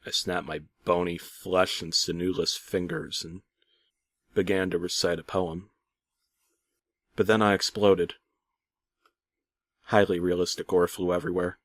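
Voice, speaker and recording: A man's voice: deep voice, flat delivery, unhurried, pauses often, a bit bright, no room sound. Theatrical man narrating a comic horror story. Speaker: creepypasta narrator.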